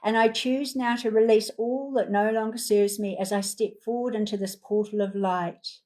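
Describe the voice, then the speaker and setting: Woman, meditation guide, soothing, repeats affirmations, mid pitch. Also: fairly even intonation, normal speed, darkish tone.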